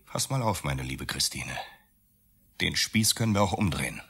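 Bitter accused man in a radio play, sarcastic, husky, really swings up and down. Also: very deep voice, animated, moderate pace, pushed and bright, full character voice. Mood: sarcastic, accusing. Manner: sarcastic, accusatory, rhetorical questions. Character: Max, accused architect, bitter, volatile, cornered lover. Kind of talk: German radio drama acting.

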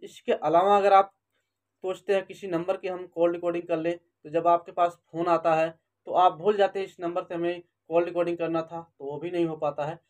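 A man's voice: high-pitched, level tone, a little fast. A man narrating a step-by-step phone tutorial, repeating instructions and addressing viewers as friends. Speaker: tech tutorial YouTuber and host.

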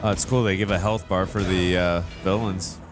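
A man's voice: sits low, fairly even intonation, moderate pace, over loud music. A man in his thirties hosting a casual reaction, musing aloud into a headset mic in a home studio. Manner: musing, laid-back, chuckling. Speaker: reaction host. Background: game audio playing.

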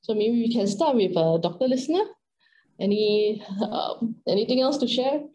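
Female moderator, Singaporean accent, middle-aged, soft dark tone, conversational delivery for an online panel. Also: on the high side, lively intonation, moderate pace. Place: indoors, on a video call. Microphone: video call microphone.

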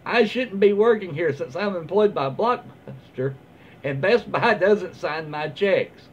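A middle-aged man reads stories aloud casually, like a home video host. His voice sits a little high for a man, and his delivery is informal and halting. The recording is somewhat dull, with faint background noise. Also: expressive. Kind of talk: casual read-aloud with off-the-cuff comments. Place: small room at home, indoors, seated in armchair.

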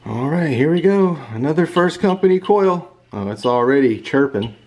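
A man's voice: a little high, expressive, moderate pace, darkish tone, slight background noise. Laid-back man explaining HVAC work. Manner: laid-back, chatty, talking while working. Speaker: HVAC technician. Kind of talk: casual on-the-job tutorial. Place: outdoors beside an AC unit, later indoors.

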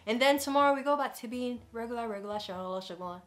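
A woman's voice: fairly high pitch, rapid-fire. A woman in her twenties chatting casually and playfully to camera as a vlogger, addressing her viewers directly in a bedroom. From Ghana. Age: 20s. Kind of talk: casual vlog chat to camera. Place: bedroom, indoors.

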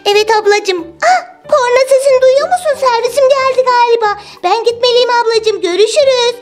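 A woman voicing an excitable, cheeky little girl in a toy play video, with a high, childlike, squeaky voice. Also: normal speed, soft background music. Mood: cheerful.

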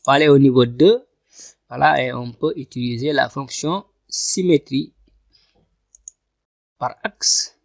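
A man giving a screen-recorded software tutorial, talking through each click step by step and often repeating himself. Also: medium pitch, a little slow, creaky, pauses often, dry recording. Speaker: software instructor. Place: at a computer, voice over screen capture.

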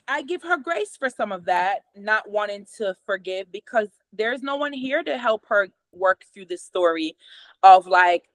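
A woman's voice: a little high, no room sound. Middle-aged woman with a patois accent explaining. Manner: explanatory, steady, gestures a lot with her hands. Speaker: host. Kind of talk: casual conversation explaining therapy methods. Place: small room at home, indoors. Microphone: video call from a computer camera.

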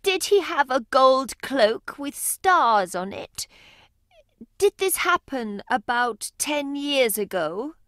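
A woman's voice: high voice, expressive, slow, fairly bright tone, dry recording, clean studio sound, full character voice. Woman playing a pleading, long-suffering mother. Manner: pleading and tearful. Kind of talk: audiobook character acting.

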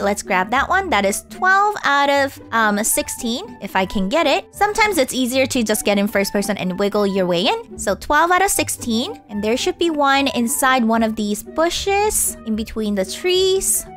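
A woman's voice: on the high side, fairly bright tone. A woman casually narrating a game walkthrough, guiding viewers step by step, her voice tired and strained. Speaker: gaming channel host.

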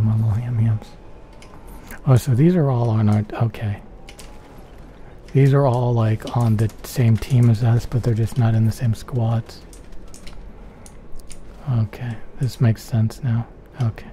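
Very mellow, soft-spoken man gaming. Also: mid-range voice, steady tone, measured pace, pauses often. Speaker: video game streamer. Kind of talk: soft-spoken ASMR gameplay commentary. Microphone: close stereo microphone. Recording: faint keyboard typing noise.

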